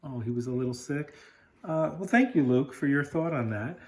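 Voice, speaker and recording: A woman's voice: rumbling low, moderate pace. A woman reading a storybook aloud as a parent, chatting with a small child and encouraging them with questions. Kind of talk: read-aloud storytelling with casual chat to a child.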